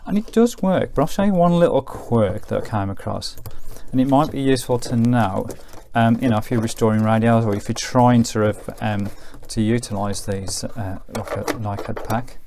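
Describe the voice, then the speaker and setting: British man, low creaky voice, casual demonstrator. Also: moderate pace, few pauses, a little mellow, slight background noise. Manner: informal, low-key, explaining as he works. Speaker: vintage radio reviewer.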